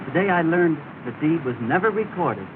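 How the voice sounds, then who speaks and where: An actor playing an earnest, polite young gentleman, respectful and sincere, in 1930s film style. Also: a little high, lively intonation, normal speed, close to an everyday voice. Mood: earnest, hopeful. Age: young adult. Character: earnest, polite, affable young gentleman newly arrived north. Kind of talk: early 1930s film acting. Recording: noisy, tinny 1930 film soundtrack.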